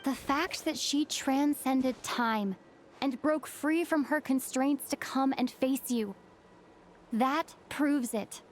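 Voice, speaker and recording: A woman acting a calm, supportive female companion in a dramatic video game scene. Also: sits high, takes it slowly, a little hiss or hum. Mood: reassuring, firm.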